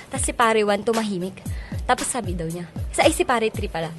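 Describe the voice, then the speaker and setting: A woman's voice: medium pitch, animated, brisk. A young woman with a Filipino accent chatting casually and politely as a guest DJ on the radio. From Philippines, Cagayan province. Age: young adult. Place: radio studio. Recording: music bed behind voice.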